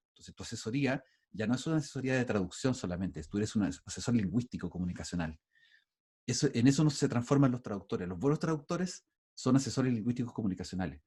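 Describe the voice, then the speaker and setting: A man's voice: mid-range voice, a little mellow, breathy edge. A middle-aged man with a Chilean accent teaching a university class over a video call, talking in a loose, conversational way with frequent restarts. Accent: Chilean Spanish. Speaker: university lecturer. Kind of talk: online class lecture.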